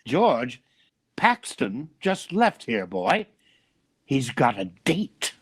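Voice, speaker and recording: A man's voice: medium pitch, sing-song, drawn-out words, slightly rough, exaggerated voice. A man acting a nosy, gleeful businessman in an old-time radio comedy. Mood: scheming glee. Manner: prying, exclamatory. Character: nosy, gleeful businessman boss, a gossipy busybody.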